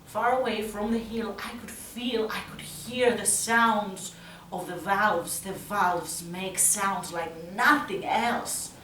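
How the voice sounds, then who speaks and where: A woman acting a tense, troubled youth haunted by violent parents in a halting, intense stage monologue. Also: medium pitch. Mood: uneasy recollection. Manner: slow, halting, intense, from hushed to loud. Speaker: actress performing a solo monologue. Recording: roomy, distant mic, faint noise.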